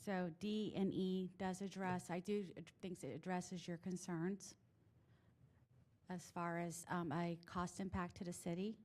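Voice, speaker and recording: A woman on city staff calmly explaining code enforcement steps at a public meeting, with hesitations. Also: fairly low pitch. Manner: measured, hesitant, with fillers.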